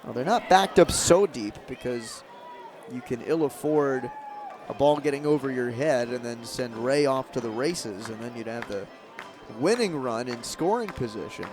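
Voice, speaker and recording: A man doing live radio play-by-play of a baseball game as the announcer, in measured calls with emphasis on key moments. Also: medium pitch, animated, music in the background. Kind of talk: live radio sports commentary. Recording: crowd and ambient stadium noise behind. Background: ballpark crowd murmur.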